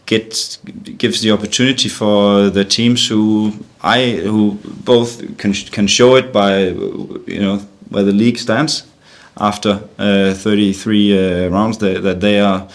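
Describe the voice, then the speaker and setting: Danish guy, middle-aged, low voice, stops and restarts a lot, football manager at a press conference. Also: flat delivery. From Denmark. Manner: halting, repeats words while searching for phrasing.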